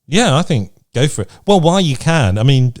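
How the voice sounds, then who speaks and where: A man's voice: medium pitch, big pitch swings, brisk. A man co-hosting a casual advice podcast, chatting candidly and conversationally and reading out listener questions. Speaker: podcast co-host. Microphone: remote recording from separate location.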